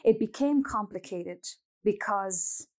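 Woman, young adult, from Germany, Arabic first language, reflective, pausing, interview. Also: mid-range voice, a little mellow. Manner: thoughtful, pauses and restarts, light laughs. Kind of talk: reflective personal storytelling.

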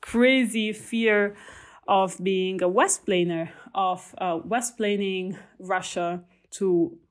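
A young adult woman from Italy with an Italian accent, talking thoughtfully about her research, with many pauses and restarts. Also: medium pitch, steady tone. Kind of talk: reflective conversational talk.

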